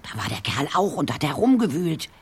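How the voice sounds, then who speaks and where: A woman's voice: low voice, very animated, fairly quick, slightly rough, exaggerated voice. An actress playing a small, friendly, slightly hesitant woman shopkeeper in an audio drama. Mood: helpful.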